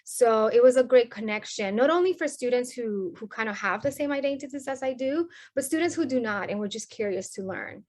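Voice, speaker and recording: Colombian woman prof, spanish accent, kinda high voice, explains things earnestly w/ restarts. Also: lively intonation, a little fast, hardly any pauses, darkish tone. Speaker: sociology professor. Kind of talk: interview answers.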